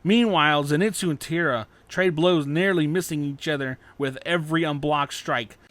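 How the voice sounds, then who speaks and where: A man's voice: on the high side, normal speed, fairly bright tone, no echo. A man narrating scripted fan fiction as an audiobook.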